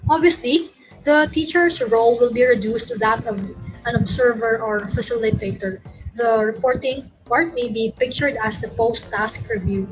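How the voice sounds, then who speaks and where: A young Filipino woman whose first language is Tagalog delivers a recorded class lecture. She pitches her voice a little high and explains patiently, often stopping to rephrase or correct herself. Soft music plays faintly underneath. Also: normal speed, highs cut off. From Philippines. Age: young adult. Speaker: student presenter.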